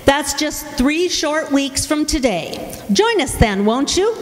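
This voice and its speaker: A woman's voice: sits high, sing-song, takes it slowly. A woman announcing upcoming shows from a stage in a chatty, scripted promotional style. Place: auditorium stage, live. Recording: reverberant auditorium.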